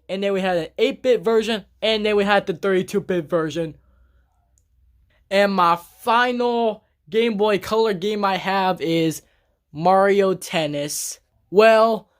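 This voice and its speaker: A game collector vlogging casually about their collection, talking in a halting, rambling way with many restarts and blunt grumbles. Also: medium pitch. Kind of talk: casual collection show-and-tell vlog. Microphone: camera on a tripod.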